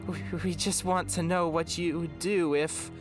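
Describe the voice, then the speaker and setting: A man voicing a decisive, guarded woman who leads prisoners, speaking earnestly and often hushed. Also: medium pitch, moderate pace, over music, lightly acted.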